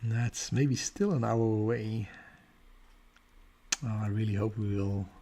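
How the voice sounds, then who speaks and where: A man's voice: on the low side, takes it slowly, a little hiss or hum. A man with a Dutch accent hosting a live stargazing stream, chatting casually and answering viewers' comments with frequent laughs. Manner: relaxed, chatty, laughs often. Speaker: astronomy livestream host.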